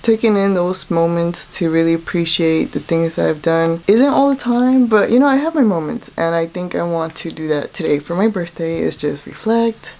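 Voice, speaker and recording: A woman's voice: fairly low pitch, moderate pace, few pauses, darkish tone. A woman in her late twenties talking casually to camera in a personal vlog, candid and reflective. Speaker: vlogger.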